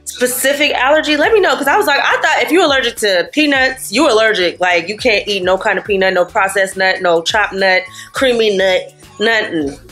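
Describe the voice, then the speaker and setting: Chatty teasing mom vlogger. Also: female voice, medium pitch, fairly quick, hardly any pauses, fairly bright tone, soft background music. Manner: chatty, teasing, storytelling with quoted asides. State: sniffly. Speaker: vlogger and mother. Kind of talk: casual vlog chat to camera. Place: hotel room.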